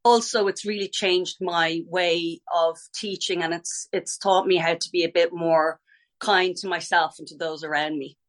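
A woman's voice: on the low side, steady tone, moderate pace. A middle-aged Irish woman, a ballet teacher, chatting in a podcast interview, talking freely, restarting sentences and laughing easily. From Ireland.

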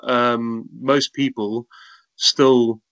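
A man's voice: medium pitch, level tone, drawn-out words, fairly bright tone, rough recording. Man, South African accent, interview guest, cheerful anecdotes, mid-range voice. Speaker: guest, content creator. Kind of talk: casual interview chat.

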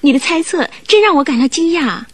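A woman's voice: sits high, animated, brisk, no room sound. A woman acting a polite, easily amazed young American bride in a Mandarin radio drama, speaking in exclamations. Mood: amazed, admiring.

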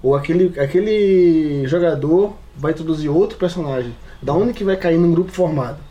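A man's voice: on the high side, normal speed, warm tone, lo-fi sound. A man with a Brazilian Portuguese accent chatting casually as a podcast co-host and game master.

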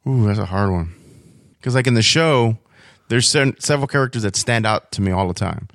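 A man's voice: fairly low pitch, lively intonation, a little fast, a bit bright, no echo. A man chatting casually in an informal, rambling storytelling way, as a podcast guest. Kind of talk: casual chat about superhero comics and cartoons.

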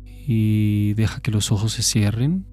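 Slow, mellow man guiding meditation. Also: lowish voice, faint music behind. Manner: calm, measured, instructive. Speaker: meditation guide. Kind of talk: guided mindfulness meditation.

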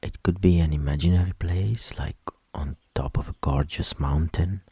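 A man leading a guided meditation in a soft, soothing voice with gentle instructions that trail into whispers. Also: sits low, husky, no room sound. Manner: slow, gentle instructions with long pauses.

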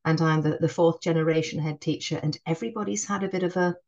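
A middle-aged woman with a Northeastern English accent and a somewhat low voice. She talks as a guest in a podcast interview, reflective and unhurried, often doubling back and restarting her sentences as she remembers. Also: fairly even intonation, creaky, highs cut off. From Northeast England. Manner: warm, reflective, frequent restarts. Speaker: head teacher, guest.

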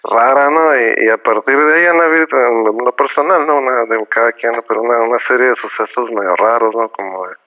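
A man from Costa Rica hosting a loose, slang-heavy chat, breaking into laughter and acting out the conversations he retells. His voice sits in a middle pitch. The sound is thin and narrow, as if coming over a phone. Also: brisk, few pauses. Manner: slangy, laughs often, acts out quoted exchanges. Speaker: podcast host. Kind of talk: casual chat. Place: Tijuana.

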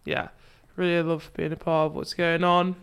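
A young woman hosts a relaxed conversation, her voice smooth and clean. She is chatty and laughs easily as she leads the talk. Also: lowish voice, normal speed. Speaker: podcast host and youth ministry leader. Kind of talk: casual chat.

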